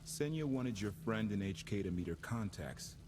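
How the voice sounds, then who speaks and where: A cocky captain, voiced by a man, talks in a breezy, wry way. His voice sits lowish, with game ambience hiss behind. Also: animated, moderate pace, lightly acted.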